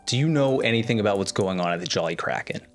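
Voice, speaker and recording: A man's voice: lowish voice, lively intonation, fast talker, faint music behind. A man playing a disgraced soldier, defiant yet remorseful, hesitant and earnest in an improvised tabletop roleplay.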